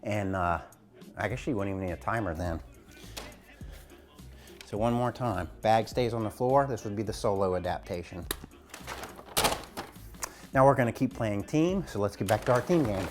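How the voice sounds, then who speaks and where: A man's voice: lowish voice, animated, moderate pace, long pauses, over music. A man coaching a home exercise game as a gym teacher, casual, encouraging and instructive, speaking indoors.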